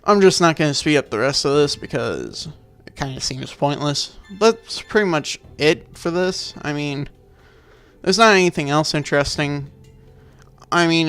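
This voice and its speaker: A man's voice: fairly high pitch, fairly bright tone, smooth, dry recording. A man giving casual, rambling game commentary as a video maker, dismissive and freely swearing.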